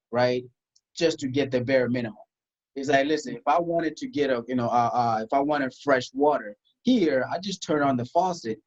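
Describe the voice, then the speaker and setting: A man's voice: mid-range voice, darkish tone, lo-fi sound. Emphatic man born in South Sudan. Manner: emphatic, rhetorical questions, frequent "you know" and "right?". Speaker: guest, tax strategist. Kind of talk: conversational interview.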